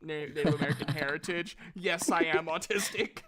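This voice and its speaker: A man's voice: fairly high pitch, very animated, normal speed, a loud crowd. A man in his thirties bantering casually as game master of a tabletop game, with a giggly, self-deprecating, teasing manner. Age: 30s.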